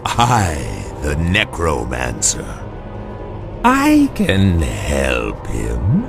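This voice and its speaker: A man's voice: extremely low pitch, wildly swooping pitch, very slow, creaky, over music, exaggerated voice. A man playing a sly, mocking villainous necromancer in a game cutscene, with a taunting, sinister and menacing delivery. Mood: sly, smug. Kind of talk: video game cutscene acting.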